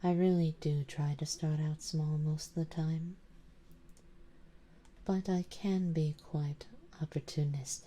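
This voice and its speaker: A woman playing an elegant, doting, possessive vampire noble in an ASMR roleplay, in a soft, breathy, mostly whispered voice with a genteel, coaxing manner. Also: deep voice, fairly even intonation, measured pace. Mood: composed, coaxing.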